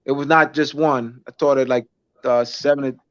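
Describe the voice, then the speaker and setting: A man, a schoolteacher, talking in a casual interview, halting with many restarts and fillers. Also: mid-range voice, steady tone. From United States. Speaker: schoolteacher guest. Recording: dull lo-fi recording.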